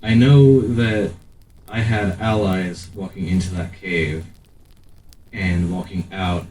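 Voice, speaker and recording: Solemn guarded stranger guy acting, slow and halting, mellow voice, tabletop rpg. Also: deep voice, fairly even intonation. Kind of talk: improvised tabletop roleplay in character. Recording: echoey room, distant, faint noise.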